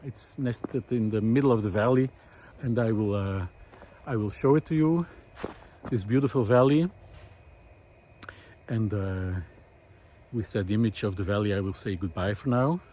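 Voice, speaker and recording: A man talking reflectively to the camera as he walks outdoors on a mountain forest path, pausing often with fillers and restarts. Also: on the low side, dark tone, a little hiss or hum. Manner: thoughtful, hesitant, many fillers and restarts. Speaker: vlog host. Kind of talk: reflective walking monologue.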